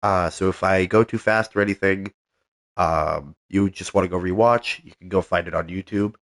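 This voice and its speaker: A man's voice: low-pitched, moderate pace, dry recording, clean studio sound. A man streaming a video game live, talking casually with a stuffed-up cold voice and lots of ums as he reads out the game's menus. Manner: soft-spoken, many ums, reads game text aloud.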